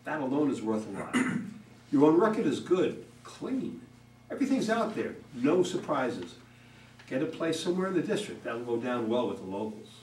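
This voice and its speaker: Distant, animated, brash campaign manager played by a man. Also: medium pitch, moderate pace, natural voice. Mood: persuasive. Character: brash male political campaign manager, cynical and pushy. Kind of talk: amateur staged play reading. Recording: distant, echoey room, faint noise.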